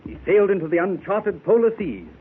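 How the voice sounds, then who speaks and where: A man's voice: sits high, very animated, husky, full character voice. A man playing a seasoned Arctic explorer captain on old radio. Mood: recounting. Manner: formal, measured storytelling. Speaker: club member telling his adventure. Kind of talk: 1930s radio drama acting. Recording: tinny old 1930s radio transfer with faint hiss.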